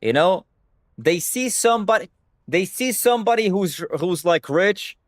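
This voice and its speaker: A man's voice: sits high, expressive, measured pace, no room sound, clean studio sound. A young man whose first language is Hebrew, talking bluntly to his stream chat and swearing freely. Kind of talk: casual livestream rant.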